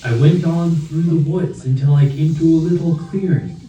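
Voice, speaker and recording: A man's voice: on the high side, fairly even intonation, moderate pace, dark tone, faint music behind. A man telling a ghost story in a dramatic narrating style. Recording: muffled, echoey, crowd noise, from far off.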